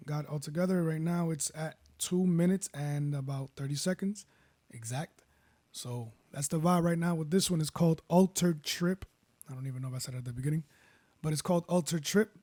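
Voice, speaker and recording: A man with a baritone voice, casually walking viewers through making a beat and rambling as he goes. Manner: relaxed, rambling, self-correcting. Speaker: beat producer. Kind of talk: casual tutorial talk. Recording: faint music behind.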